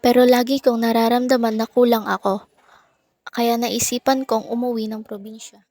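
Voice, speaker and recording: A woman's voice: on the high side, flat delivery, moderate pace, warm tone, natural voice. A woman playing the reflective, melancholy inner voice of a young chef in an amateur radio drama. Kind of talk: scripted first-person drama narration. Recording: clear music under narration.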